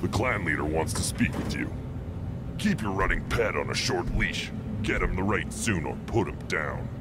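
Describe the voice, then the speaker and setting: An actor playing a blunt, surly alien guard in a video game, gruff, against loud noise. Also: male voice, animated, normal speed, loud music behind the voice. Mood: dismissive. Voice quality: low, gruff.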